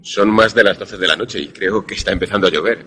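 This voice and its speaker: Deep, slightly husky male storyteller. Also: fast, bright, forceful tone, exaggerated voice. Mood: courteous, concerned. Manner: formal, literary. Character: courteous former pupil narrating his suspense tale. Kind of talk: radio drama narration and dialogue.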